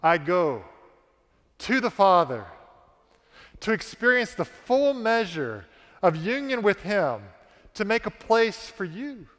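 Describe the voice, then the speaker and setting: Slow, lively preaching man in church. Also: sits high. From American South. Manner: slow, emphatic preaching with dramatic pauses. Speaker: pastor. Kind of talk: sermon. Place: large church stage. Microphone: headset mic. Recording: long reverb of a church hall.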